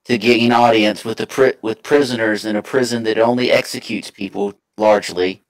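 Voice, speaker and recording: A man's voice: lowish voice, steady tone, normal speed, gravelly, rough recording. A man playing an online tabletop role-playing game, arguing in character in a skeptical, sardonic way. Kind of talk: in-character argument.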